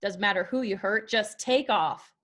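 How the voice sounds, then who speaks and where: A woman reading a wounded, sharp-tongued young country singer with folksy Southern phrasing in a screenplay table read. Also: medium pitch, moderate pace, lightly acted. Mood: angry, hurt.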